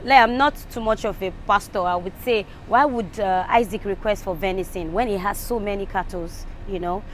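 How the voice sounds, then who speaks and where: A woman of medium pitch explains what she thinks in a casual way. Faint outdoor traffic sits behind her. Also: brisk. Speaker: interview respondent. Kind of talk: casual opinion talk.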